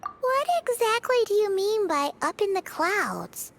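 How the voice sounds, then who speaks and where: A woman voicing a small, childlike fairy companion in a video game, squeaky, curious, excitable and exclamatory. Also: a little slow, vocal fry, a little hiss or hum, full character voice. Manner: speaks of self in third person, exclamatory.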